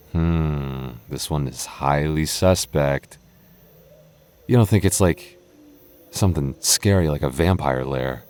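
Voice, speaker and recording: Man acting a deep-voiced, hesitant young boyfriend. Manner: hesitant, stammering, soft-spoken intimate delivery. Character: young boyfriend, nervous but affectionate, on a haunted adventure. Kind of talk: boyfriend roleplay ASMR audio drama.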